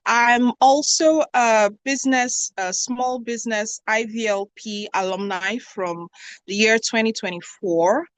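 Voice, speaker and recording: A woman's voice: fairly high pitch, fairly even intonation, a little slow. A woman with a Nigerian accent introducing herself as a guest on an online panel. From southwest Nigeria. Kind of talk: self-introduction at a virtual talk. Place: online video call.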